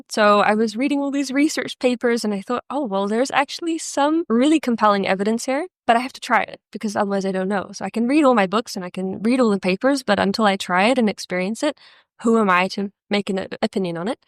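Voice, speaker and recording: A young woman, speaking with a fairly high, light voice. She chats earnestly and easily as an interview guest, often pausing on "um" and "yeah". The sound is dry and close. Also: no echo. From New Zealand. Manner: earnest and chatty, many "um" and "yeah" fillers. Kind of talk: casual interview chat.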